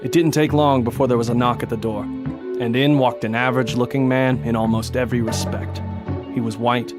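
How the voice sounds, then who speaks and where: A man's voice: mid-range voice, steady tone, brisk, lightly acted. A man playing a tough, sardonic police detective in a noir comedy audio drama, with a threatening edge. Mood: deadpan narrating. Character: tough, wry hard-boiled male police detective. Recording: music behind the voice.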